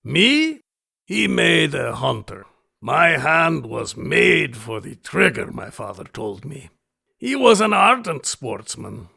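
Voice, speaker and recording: An actor playing an alien aristocratic hunting fanatic in an audio drama, gravelly and raspy, with florid, theatrical, deliberate delivery. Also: male voice, a little high, sing-song, pushed and bright, heavily acted. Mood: boastful, grandiose. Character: alien aristocratic hunting fanatic, obsessive, grandiose, menacing male host. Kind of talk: audio drama villain acting.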